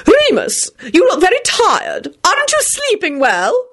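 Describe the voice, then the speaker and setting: A woman's voice: extremely high pitch, wildly swooping pitch, a little slow, fairly bright tone, voice pushed up, exaggerated voice. A woman voicing a fussy, dramatic aristocratic grandmother in a story reading, with a grand manner. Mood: fussing concern. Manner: fussing, grand.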